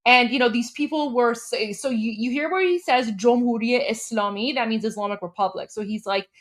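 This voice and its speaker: Woman, high voice, casual livestream host, conversational commentary, repeats and rephrases, speaks straight to viewers. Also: quick pace.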